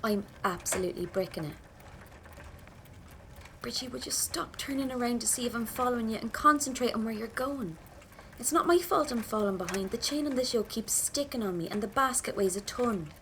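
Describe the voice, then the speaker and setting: An actress playing a nervy, grumbling young Irish rebel, thinking aloud in an Irish accent in an audio drama. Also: female voice, fairly high pitch, lively intonation, brisk, a bit bright. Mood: nervous, irritated. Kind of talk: audio drama inner monologue. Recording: noisy outdoor-style scene ambience.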